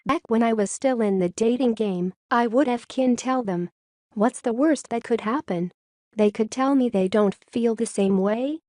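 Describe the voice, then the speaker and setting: A woman reading forum posts aloud in a steady, even reading voice. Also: fairly high pitch, moderate pace, hardly any pauses.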